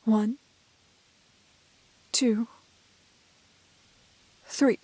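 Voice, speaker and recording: A woman playing a prim, plucky, kind-hearted young woman in a fairy-tale roleplay, with soft voice and proper, old-fashioned phrasing. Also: fairly high pitch, steady tone, extremely slow, background noise. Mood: gentle, careful. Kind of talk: romantic fantasy roleplay audio acting.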